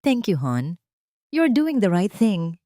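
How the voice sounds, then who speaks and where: A woman voicing a cold, scheming husband who speaks calmly with feigned tender concern in an audio drama. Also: mid-range voice, slow, no echo, pitched above the performer's own voice. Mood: fake caring. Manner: calm, sickly-sweet false care.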